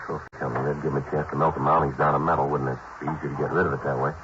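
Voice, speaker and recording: A hard-nosed police detective in an old radio crime drama, his voice extremely deep and his words rattled off fast and flat. The broadcast sounds muffled, with a steady hiss underneath. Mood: terse. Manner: clipped, flat, matter-of-fact delivery. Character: terse male police detective narrating his case. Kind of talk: 1950s radio drama acting.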